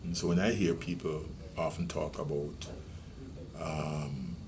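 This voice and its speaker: A man in his sixties with a Jamaican accent, a retiring senior police officer recalling his rural upbringing in a measured, reminiscing interview. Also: rumbling low, fairly even intonation, noisy background. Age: 60s. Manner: measured, reminiscing, with many ums and restarts. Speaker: retiring police deputy commissioner. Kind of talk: reflective interview storytelling.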